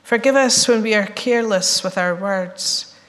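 A woman minister with a slightly croaky voice, leading a slow, measured prayer and then chatting playfully with children as she hands out water. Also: medium pitch. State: croaky, recovering voice. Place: church sanctuary. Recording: echoey church sanctuary.

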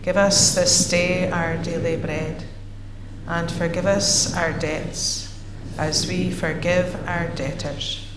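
A woman minister with a slightly croaky voice, leading a slow, measured prayer and then chatting playfully with children as she hands out water. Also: on the low side, very noisy. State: croaky, recovering voice. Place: church sanctuary. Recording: echoey church sanctuary.

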